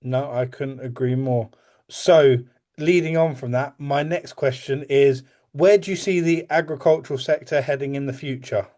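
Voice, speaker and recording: A man's voice: mid-range voice, moderate pace, dark tone. A man hosting an interview podcast, asking questions and agreeing with his guest in a plain, easygoing way. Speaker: podcast host.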